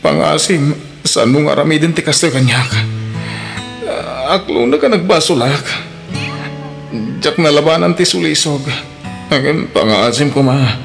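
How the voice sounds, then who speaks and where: A man's voice: on the high side, a little slow, creaky, long pauses. A man with a Filipino accent playing a remorseful, pleading unfaithful husband in an Ilocano radio drama. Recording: music behind the voice.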